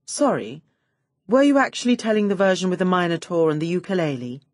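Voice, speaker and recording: A woman's voice: lowish voice, very animated, fairly quick, dry recording. A woman reader plays a glamorous female private detective from an audiobook mystery. She is flirtatious and utterly sure of herself, with a teasing edge. The sound is dry and close. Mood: mock innocent. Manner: teasing, cocksure.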